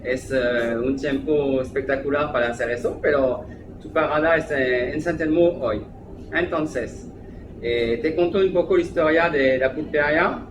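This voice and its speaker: A man's voice: mid-range voice, unhurried. A man with a French accent speaking Spanish as a second language, a restaurant manager giving an interview, hesitant and full of fillers. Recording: echoey room, background noise.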